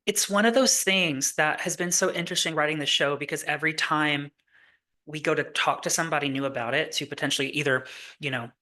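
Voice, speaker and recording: A playwright guest in a casual interview, talking in loose, rambling sentences. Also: male voice, a little high, fairly quick, pushed and bright. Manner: rambling, many fillers and restarts.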